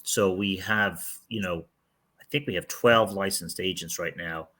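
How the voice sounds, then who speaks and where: A man's voice: low voice, unhurried, sharp tone. A middle-aged man, a real estate team leader, giving candid, rambling interview answers with frequent restarts. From outside the United States.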